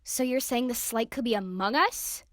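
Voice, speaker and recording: A woman's voice: fairly high pitch, moderate pace, no echo, clean studio sound, lightly acted. A woman playing a grumpy, tired passenger. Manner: irritable, complaining.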